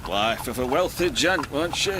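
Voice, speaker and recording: A man's voice: medium pitch, expressive, normal speed, noisy background, natural voice. An actor playing an inquisitive, plain-spoken Yorkshire local man in a naturalistic radio drama, blunt and colloquial. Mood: gossipy, probing.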